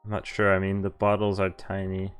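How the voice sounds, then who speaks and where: Guy streamer reading a visual novel out loud, clean lowish voice, chatty n playful, rambles a bit, soft game music behind. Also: completely flat delivery, brisk, smooth. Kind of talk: reading a visual novel aloud with casual commentary.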